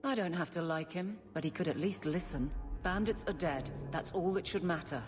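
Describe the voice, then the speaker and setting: A woman's voice: on the low side, normal speed, long reverb tail, faint music behind, lightly acted. A woman playing a principled, no-nonsense city guard in a video game, blunt and resolute.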